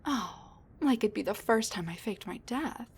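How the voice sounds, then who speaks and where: A woman playing a sassy, rambling wounded supervillain with a soft side, talking intimately to the listener in a roleplay audio drama, with breathy asides and sighs. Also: on the high side, lively intonation, moderate pace, heavily acted. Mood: weary, wry.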